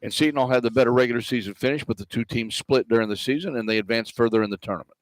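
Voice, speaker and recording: A male radio sports broadcaster delivers a conversational post-game wrap-up. His voice sits at a medium pitch, and the sound is very dry, with little sense of the space around him. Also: very fast, no echo, low-quality recording.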